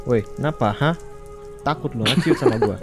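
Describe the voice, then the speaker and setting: A man's voice: medium pitch, moderate pace, natural voice. Man playing a cocky young fighter, music behind. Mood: goading. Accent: Indonesian. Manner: brash, slangy. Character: cocky, competitive young male fighter, teasing friend. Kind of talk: amateur game roleplay drama. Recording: music and rain-like sound behind.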